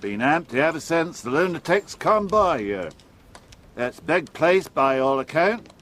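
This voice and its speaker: A man's voice: a little high, sing-song, a little slow, a bit bright. A man playing a wary, plain-spoken working-class carriage driver in an audio drama, deferential and gossipy in a rustic way. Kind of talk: gothic audio drama acting.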